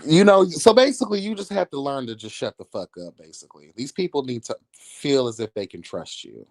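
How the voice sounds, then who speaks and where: A man's voice: mid-range voice, animated, normal speed, no room sound. A man giving casual celebrity gossip commentary as a livestream host, with a sassy, catty, blunt and slangy way of talking.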